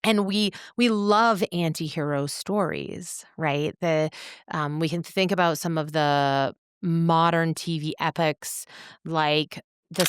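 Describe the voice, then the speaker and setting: Low-pitched woman, earnest podcast host, close dry sound. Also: clean tone, dry recording. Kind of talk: reflective podcast conversation.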